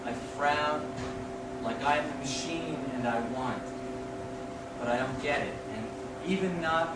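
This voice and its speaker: A man reading poetry aloud as a poet, declaiming in short, deliberate phrases. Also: medium pitch. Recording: distant echoey room recording with noise.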